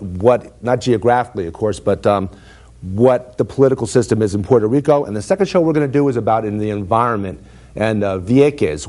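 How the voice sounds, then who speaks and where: A man's voice: on the low side, dark tone. A man hosting a public-access talk show, asking his guests friendly questions with some hesitation. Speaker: host. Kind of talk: studio interview.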